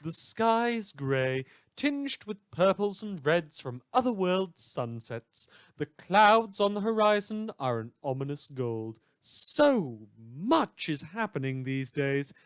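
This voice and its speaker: A man playing a gleeful, sardonic villain who hosts a radio news show, delivering a scripted monologue with dramatic pauses and sarcasm. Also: high-pitched, sing-song, fairly bright tone, smooth, no room sound, studio quality, heavily acted. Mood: grandly cheerful.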